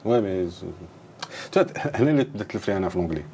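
A man's voice: on the low side, lively intonation, rapid-fire, mellow, slight background noise. A man in his forties with an Algerian accent, hosting a podcast interview, mixing French with Arabic. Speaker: podcast host. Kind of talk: casual interview. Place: small studio room, seated at a table. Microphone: lapel mic.

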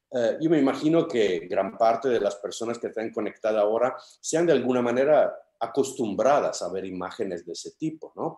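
Deep-voiced man lecturing over video. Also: a little mellow, roomy sound. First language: Italian. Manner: hesitant, many fillers and restarts. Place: home study with bookshelves. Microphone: video call webcam.

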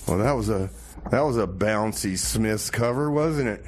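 A man playing a glum, self-pitying, hapless radio DJ who talks in a halting, dejected, repetitive way on a comedy music show. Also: lowish voice, background noise, natural voice. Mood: glum, rambling. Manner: halting, dejected, sighing, repeating himself. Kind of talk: comedy radio theater DJ patter.

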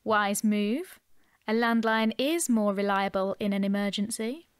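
An actress playing a sensible, caring woman in a naturalistic audio drama, measured, reassuring and matter-of-fact. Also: mid-range voice, steady tone, clean tone, no echo, studio quality, lightly acted. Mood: firm, reassuring. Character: Sam, calm, sensible, caring woman, quietly competent.

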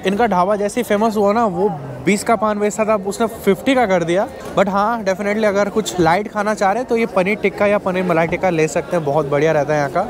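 High-pitched man vlogging. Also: fairly even intonation, a little fast, few pauses, a crowd in the background. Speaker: travel vlogger, host. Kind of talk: casual travel vlog narration. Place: out of doors at night and aboard a bus.

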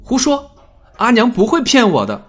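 Guy doing small guarded orphan kid in an audiobook, soft prickly murmur, husky, faint music behind. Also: very high-pitched, wildly swooping pitch, moderate pace, pitched above the performer's own voice, heavily acted. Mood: hurt defiance. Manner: soft, murmuring, turning sharp when challenged. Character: small, guarded, lonely orphan boy, prickly but earnest. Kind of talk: audiobook character acting.

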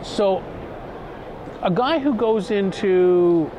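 A man's voice: high-pitched, extremely slow. A middle-aged man in his fifties, interviewing a guest on camera as an editor and host. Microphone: lapel mic. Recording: clearly noisy event hall background.

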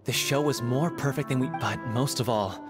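A man's voice: mid-range voice, normal speed, music in the background, natural voice. A man playing an earnest, polite young theater performer in an anime game, speaking sincerely. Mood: grateful.

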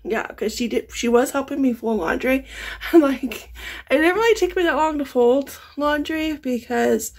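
A woman's voice: high-pitched, normal speed. A woman in her thirties chatting casually to camera at home as a lifestyle vlogger, laughing often as she talks through her chores. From Canada. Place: bedroom at home, indoors.

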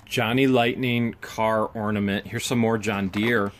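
A man's voice: on the low side, steady tone, measured pace, slight background noise. A man hosting an unboxing vlog, chatting casually and exclaiming over each find, recorded on a handheld camera in a garage. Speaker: vlog host unboxing storage finds.

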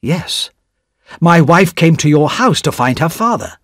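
A man's voice: fairly high pitch, expressive, a little slow, fairly bright tone, dry recording, studio quality, voice pushed up. A man voicing a subdued, weary young prisoner.

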